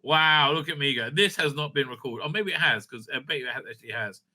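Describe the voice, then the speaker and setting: A man's voice: on the high side, fairly quick, sharp tone. A man giving casual, unscripted commentary as host of a fan news show, rambling and thinking aloud in a blunt, sweary way. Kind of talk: casual unscripted commentary while browsing a screen.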